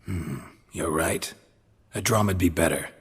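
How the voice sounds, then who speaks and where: An actor playing a gruff, laconic monster hunter in a video game, gravelly and deadpan in delivery. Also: male voice, deep voice, a little slow, big-room sound, heavily acted. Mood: terse, deadpan. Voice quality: gravelly, husky. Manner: terse, deadpan.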